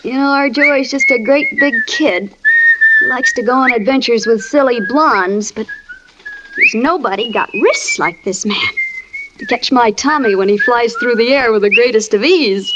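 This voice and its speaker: An actress playing a playful, affectionate young circus performer in a 1940s radio drama, coaxing and teasing. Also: female voice, on the high side, huge pitch swings, normal speed. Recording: muffled old radio broadcast with hiss.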